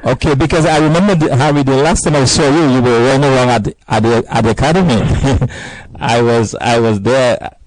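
A man's voice: medium pitch, brisk, poor audio. A man hosting a live radio interview, hesitant and often repeating his words as he speaks.

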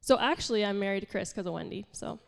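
A young woman preacher with a congested, nasal tone speaks candidly and self-deprecatingly, full of casual fillers. Her voice is smooth and clear despite the stuffiness. It carries a long reverb from a church hall. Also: mid-range voice, quick pace. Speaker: pastor and worship leader. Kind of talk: informal sermon.

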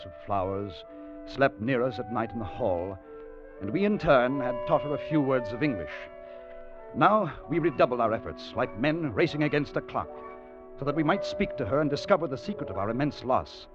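Earnest adventurer for vintage radio drama, male actor: dramatic, deliberate, very expressive, over music. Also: medium pitch, lightly acted. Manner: dramatic, deliberate, clearly enunciated. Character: earnest male time traveller recounting a desperate ordeal. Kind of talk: old-time radio drama narration and dialogue. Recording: muffled 1950 radio recording, music behind.

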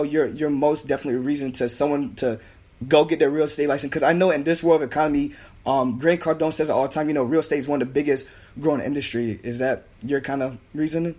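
A young man hosting a podcast interview, his voice hoarse and partly lost, talking in a hyped, informal way with plenty of fillers. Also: a little high, a little mellow. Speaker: podcast host. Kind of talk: casual interview chat.

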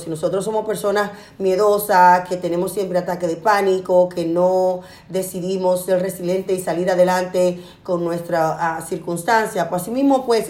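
A woman's voice: on the low side, a little mellow. A woman on a radio talk about parenting, speaking earnestly with some hesitation. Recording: echoey room.

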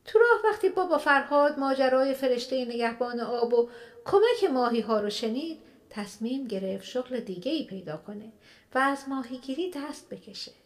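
A woman narrating a children's radio play in an expressive storybook style. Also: high-pitched. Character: storyteller of a children's fantasy tale.

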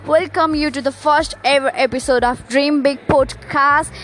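A woman's voice: sits high, a bit bright. A young woman with a Nepali accent hosting a solo motivational podcast, upbeat, with many fillers and restarts. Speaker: podcast host. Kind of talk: casual solo podcast monologue.

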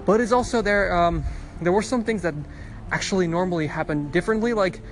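Young man, high voice, rambling podcast diary recorded on a phone outside with faint music behind. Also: fairly quick. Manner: rambling, chatty, frequent restarts. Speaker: podcast host. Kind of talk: casual audio diary. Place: outdoors at a bus stop on the street.

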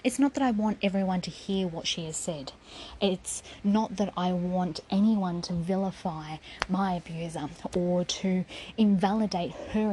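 A woman's voice: on the low side, dark tone, slight background noise, low-quality recording. A woman giving a raw, defiant personal spoken essay, swearing freely and sometimes dropping to a whisper.

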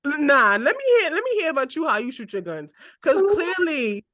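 A woman's voice: sits high, big pitch swings, normal speed, fairly bright tone, no echo, clean studio sound. A woman in her twenties co-hosting a podcast, chatting casually, teasing and cursing, often breaking into laughter. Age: 20s. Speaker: podcast co-host. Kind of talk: casual chat.

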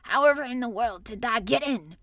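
Woman acting an indignant old Yorkshire gardener, loud and scolding. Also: on the high side, brisk, no echo, studio quality. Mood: outraged. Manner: haranguing, blunt dialect scolding.

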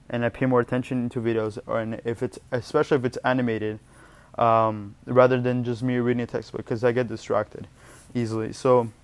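A man's voice: medium pitch, clear, resonant tone. A young man whose first language is Portuguese, giving study tips in a casual, rambling vlog and often correcting himself mid-word. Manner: rambling, self-correcting, chatty. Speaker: student vlogger.

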